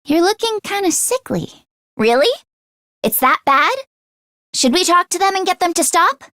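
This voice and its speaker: A woman voice acting an earnest, anxious teenage schoolgirl in an English video game, with expressive, emotionally heightened delivery. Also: very high-pitched, moderate pace, dry recording, clean studio sound, full character voice. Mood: concerned.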